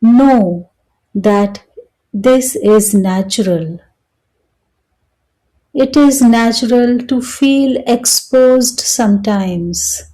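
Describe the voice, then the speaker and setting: A woman guiding a meditation in a calm, soothing manner, speaking in short phrases with long pauses between them. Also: fairly high pitch, rough recording. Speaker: meditation guide. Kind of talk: slow guided meditation narration.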